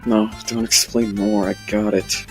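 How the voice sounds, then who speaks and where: A man's voice: on the low side, flat delivery, unhurried, soft background music, natural voice. A man voicing an impatient, foul-mouthed young troll trainee in an amateur cartoon comic dub. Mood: irritated.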